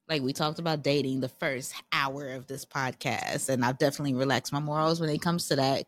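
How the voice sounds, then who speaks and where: A woman co-hosting a podcast, relaxed and affirming, asking questions in a casual chat. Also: low voice, no echo. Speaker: podcast co-host.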